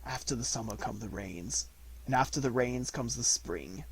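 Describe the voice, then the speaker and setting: Man doing a dying old wolf leader, proud and weary, gasping and halting, husky tone, dry sound. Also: medium pitch, no room sound, natural voice, poor audio. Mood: solemn. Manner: gasping, halting, coughing speech.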